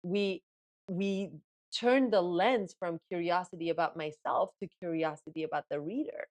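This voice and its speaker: A woman whose first language is Farsi, a guest in an interview, explaining her method in lively bursts and acting out inner thoughts. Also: lowish voice, normal speed. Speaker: guest author and ghostwriting coach. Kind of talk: casual interview talk.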